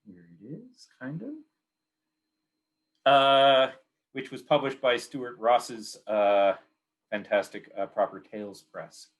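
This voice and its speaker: A man's voice: medium pitch, slow, pauses often. A man with a Canadian accent giving a poetry reading of his own work in short, clipped, repeating phrases.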